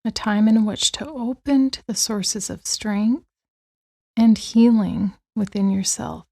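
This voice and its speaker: A woman guides a meditation with a soft, mellow tone and a creaky edge. She speaks gently and very slowly, letting long pauses settle between her soothing phrases. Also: mid-range voice. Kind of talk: guided body-scan meditation.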